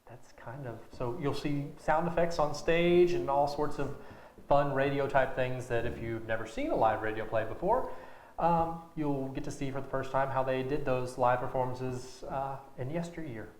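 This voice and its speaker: A man's voice: mid-range voice, moderate pace, a little mellow. A man giving a casual, jokey interview as a director, with hesitant starts. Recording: echoey room with background noise.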